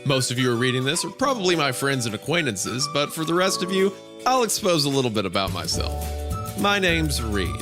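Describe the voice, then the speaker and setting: A man's voice: mid-range voice, very animated, a little fast, over music, lightly acted. A man voicing a young, bitter, self-mocking drug-addict blogger in a chatty, sarcastic horror-story narration. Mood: defiant, bitter. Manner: chatty, sarcastic first-person blog delivery.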